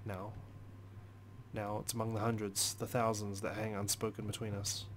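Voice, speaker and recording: A man reading first-person game narration aloud as a let's play host, expressive, with dramatic, deliberate phrasing. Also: on the low side, a little hiss or hum. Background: music.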